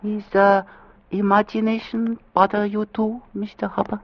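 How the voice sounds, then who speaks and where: An actor playing a polite, superstitious ship's steward in a radio drama, speaking halting broken English with a put-on foreign accent. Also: male voice, sits high, flat delivery. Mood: ominous, deferential. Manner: halting, deferential, clipped broken phrases. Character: soft-mannered, superstitious male ship's steward, politely ominous. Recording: dull vintage radio recording.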